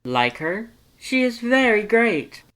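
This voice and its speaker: Loud booming male cartoon skeleton, pitched high, exuberant, emphatic, kind-hearted, formal wording. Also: big pitch swings, slow. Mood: eager, affirming. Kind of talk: animated fan fiction character reading.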